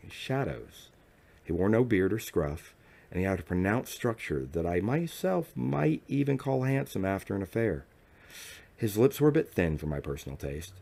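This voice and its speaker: A man reading a first-person literary story as a narrator, in a formal, measured manner with deliberate phrasing and dramatic pauses. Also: low-pitched, darkish tone. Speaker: audiobook narrator.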